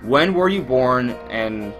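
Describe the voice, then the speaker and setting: A man's voice: mid-range voice, lively intonation, takes it slowly, fairly bright tone, faint music behind. Chatty young man streaming live. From Miami, Florida, USA. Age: 20s. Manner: rambling and chatty, many fillers and restarts, addresses chat directly. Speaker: YouTuber hosting a live Q&A stream. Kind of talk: casual live-stream chat.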